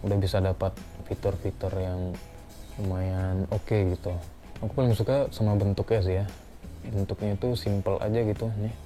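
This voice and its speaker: Low, mellow young man reviewing. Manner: informal, unscripted, conversational. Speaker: product reviewer. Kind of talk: casual product review vlog.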